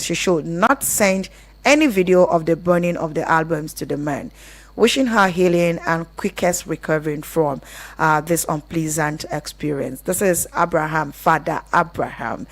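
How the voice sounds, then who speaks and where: Woman, Nigerian accent, slightly low pitch, live call-in radio host. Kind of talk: live phone-in radio hosting, reading listener messages.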